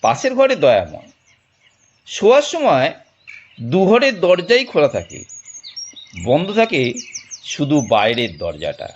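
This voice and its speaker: A man narrating a detective audio drama in an expressive, dramatic storytelling manner with deliberate pauses. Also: fairly high pitch, dull audio, slight background noise.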